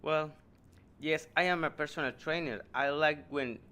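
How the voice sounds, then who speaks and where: A man's voice: on the high side, normal speed, bright, forceful tone, clear, resonant tone. A man playing a naive, sincere young dating show contestant who speaks in a hesitant, simple, earnest way.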